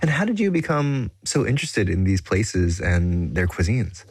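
A man's voice: deep voice, sing-song, normal speed, husky, dry recording. A man hosting a food radio show, interviewing guests in a friendly casual way.

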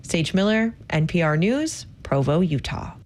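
A woman's voice: on the low side, very animated, a little slow, darkish tone. A woman delivering a scripted radio news report.

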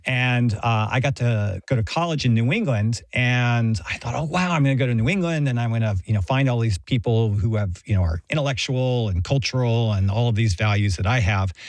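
A middle-aged American man talks like a professor being interviewed, thoughtful and explanatory. His voice sits at an ordinary male pitch, recorded very dry with no room around it. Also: no room sound. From Texas, United States. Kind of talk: conversational explanation and personal anecdote.

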